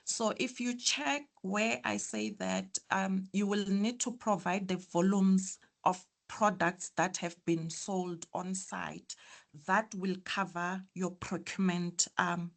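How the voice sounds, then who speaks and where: A soft-voiced woman speaks slowly on a video call mic. She answers members' questions in an online meeting, hesitating often with ums and restarts. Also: medium pitch, steady tone, vocal fry. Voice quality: soft, quiet voice. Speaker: association official answering members.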